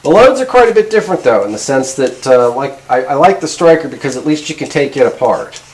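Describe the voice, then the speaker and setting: A man's voice: medium pitch, lively intonation, fairly quick, darkish tone, rough recording. A middle-aged man casually demonstrating gear as a video host, talking in a rambling, hesitant, informal way. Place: indoor garage workshop at a workbench.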